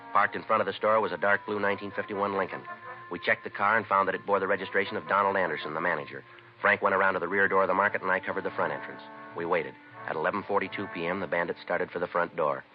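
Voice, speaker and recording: Actor as a low, clipped radio police detective. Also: male voice, quick pace, over music, natural voice. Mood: matter-of-fact. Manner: terse, matter-of-fact, deadpan. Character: weary, businesslike male police detective and narrator. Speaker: police detective narrating the case. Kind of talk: 1950s radio crime drama acting and clipped narration. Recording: muffled, noisy vintage radio recording.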